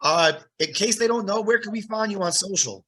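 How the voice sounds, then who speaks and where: Bright-voiced laid-back male host. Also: high voice, brisk, breathy edge. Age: 40s. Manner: laid-back, friendly bro talk with casual swearing. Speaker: podcast host and drummer. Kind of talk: casual interview chat. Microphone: video call.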